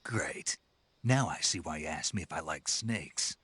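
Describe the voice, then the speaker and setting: A man voicing a laconic special forces soldier with a husky voice and a dry, sardonic wit in a game. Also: very deep voice, expressive, a little slow, fairly bright tone, dry recording, exaggerated voice. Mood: wry. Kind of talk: game dialogue.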